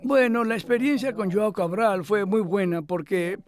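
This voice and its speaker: An older man answering interview questions in a thoughtful, measured way, with pauses and fillers. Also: high voice. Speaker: interviewee, literary scholar. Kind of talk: Spanish voice-over of an interview.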